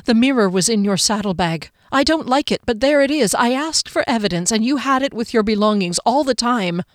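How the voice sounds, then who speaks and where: A woman voicing a stern, cold young male healer in an audiobook, speaking in a clipped, forceful, pressing way. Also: mid-range voice, moderate pace, no echo, clean studio sound, lightly acted. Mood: accusing. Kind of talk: audiobook character voice.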